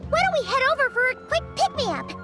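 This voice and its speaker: A woman voicing a small, excitable, childlike fairy companion in a video game, squeaky and exclamatory. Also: fast talker, faint music behind, exaggerated voice. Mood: flustered, excited. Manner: refers to self in third person, exclamatory. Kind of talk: video game character voice acting.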